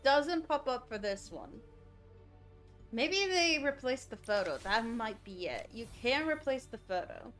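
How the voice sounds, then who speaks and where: A woman's voice: on the high side, very animated, a little slow, fairly bright tone, faint music behind. A woman livestreaming a game, chatting casually and thinking aloud as she reacts to what is on screen. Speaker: game streamer.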